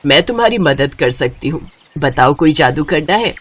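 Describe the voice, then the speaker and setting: A woman's voice: sits low, animated, normal speed, fairly bright tone, slightly rough, pitched below the performer's own voice, heavily acted. A woman voicing a kindly middle-aged female magician in a story, instructing in a motherly way. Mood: earnest, instructive.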